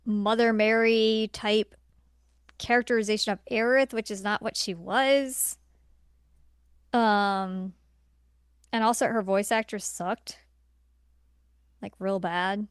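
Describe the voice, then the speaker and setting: Female podcast co-host, smooth clear voice, dry close sound, blunt and exasperated casual opinions. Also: fairly high pitch, fairly even intonation, slow, pauses often, no echo. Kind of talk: casual opinionated film discussion.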